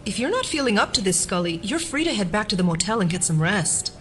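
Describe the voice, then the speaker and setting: An actress playing a brisk, expressive, matter-of-fact woman investigator in an audio drama. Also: medium pitch, a little hiss or hum, lightly acted. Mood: concerned.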